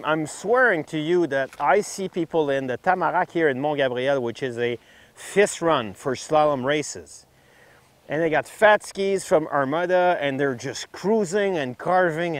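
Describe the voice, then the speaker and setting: A man's voice: a little high, no room sound. A middle-aged man hosting a casual ski gear review outdoors, talking in hesitant, broken-up phrases. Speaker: ski test host. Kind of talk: casual product review and interview. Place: outdoors on a snowy ski slope.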